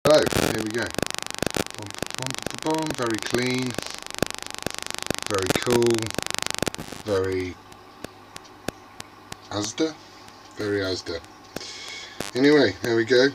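A man's voice: medium pitch, slow, pauses often. A middle-aged British man hosting a casual, unscripted vlog, sweary and jokey as he mutters to himself. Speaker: video host unboxing CB radio gear. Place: small indoor radio workshop. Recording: noisy room, loud background noise, clicks.

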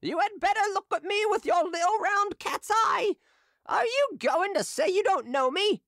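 A reader voicing a drunken, staggering female street hawker who shouts and jeers. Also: expressive, moderate pace, no echo, studio quality, exaggerated voice. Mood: jeering. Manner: shrill, jeering and shouting.

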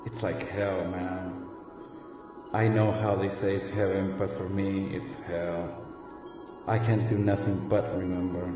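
A man's voice: low voice, little pitch movement, measured pace. A man acting in an audio drama as a dead young street tough, a defensive, regretful big brother talking in slang with Spanish touches. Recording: muffled, echoey lo-fi audio drama recording. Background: loud background music.

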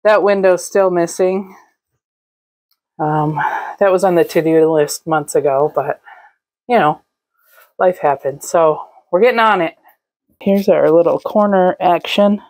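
A young woman casually narrating a walkthrough of a camper she is fixing up, relaxed and chatty with frequent ums. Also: fairly low pitch, measured pace, pauses often. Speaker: vlog host. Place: inside a gutted camper trailer.

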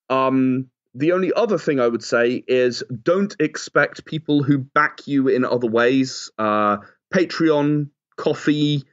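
Guy being interviewed, emphatic and earnest, giving advice, a bit lo-fi sounding. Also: medium pitch. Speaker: interview guest, audio drama and game creator. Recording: slightly dull, lo-fi audio.

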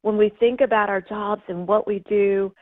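A woman's voice: mid-range voice, fairly even intonation, normal speed. A woman talking casually and heartfelt over a phone line, effusive and rambling, with lots of emphasis. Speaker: TV news presenter on medical leave, calling in. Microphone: telephone call.

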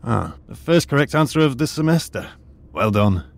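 A man's voice: mid-range voice, big pitch swings, moderate pace, vocal fry. A man playing a dry British archaeologist. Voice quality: dry, wry and formal. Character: British retired archaeologist turned high school teacher, dry and wry. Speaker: history teacher. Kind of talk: audio drama acting.

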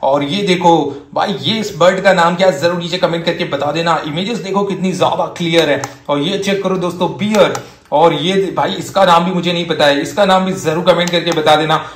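A man with an Indian accent giving a gushing, enthusiastic toy demonstration as a review host, excitable and repetitive. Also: fairly high pitch, brisk. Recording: echoey room with faint noise.

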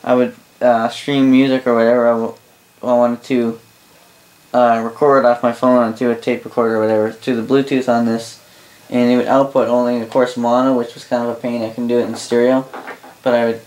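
A Canadian man casually demonstrating a gadget as a reviewer, halting, rambling and correcting himself as he goes. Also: medium pitch, flat delivery, a little mellow, low-quality recording. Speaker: product reviewer. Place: small indoor room at a desk.

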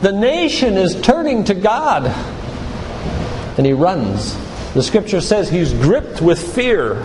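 High-voiced older man preaching in echoey hall. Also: big pitch swings, takes it slowly. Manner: didactic storyteller, addresses listeners directly. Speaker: physician giving a guest talk at a church. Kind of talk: sermon-like lecture retelling Bible stories. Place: large room, church. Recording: reverberant hall with clear background noise.

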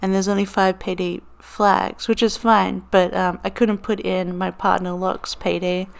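Chatty woman with a smooth voice. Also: medium pitch, little pitch movement, a little slow. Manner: chatty, rambling with frequent ums and laughs. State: slightly crackly morning voice. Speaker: planner vlogger. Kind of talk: casual voiceover narration. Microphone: voiceover over overhead desk footage.

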